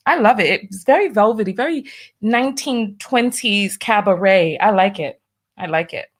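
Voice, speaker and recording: A woman's voice: mid-range voice, animated, moderate pace. Chatty New York woman livestream host. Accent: New York, partly masked. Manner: chatty, wry asides, doing her makeup while talking. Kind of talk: casual livestream chat, reading viewer donations.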